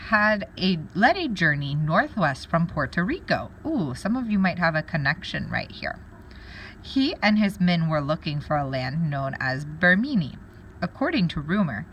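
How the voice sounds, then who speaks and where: Low-voiced woman teacher reading aloud, lively intonation. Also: a little hiss or hum, rough recording. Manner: pauses to wonder aloud and explain words to pupils. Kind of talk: read-aloud lesson with think-aloud comments.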